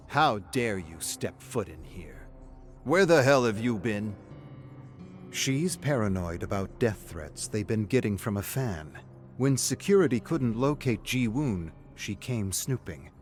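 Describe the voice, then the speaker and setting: A suave, sinister storyteller for a video game, with a fairly low voice. The phrasing is measured and theatrical, savoring a vain killer's dark thoughts. Soft music plays underneath. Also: male voice. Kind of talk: scripted dramatic story narration. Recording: music behind the narration.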